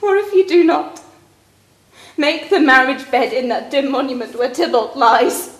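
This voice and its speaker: Woman acting a very high, distraught young daughter. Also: measured pace. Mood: anguished despair. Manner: tearful, impassioned, declamatory. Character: distraught, pleading young daughter, defiant yet desperate. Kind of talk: classical stage verse acting. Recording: echoing room.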